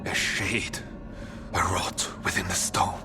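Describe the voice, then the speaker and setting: A solemn dwarven man from a fantasy video game. His voice sits fairly low, with formal, measured phrasing. Music plays clearly behind him. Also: flat delivery. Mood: haunted. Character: grave, formal dwarven man rescued from underground. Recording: music under the voice.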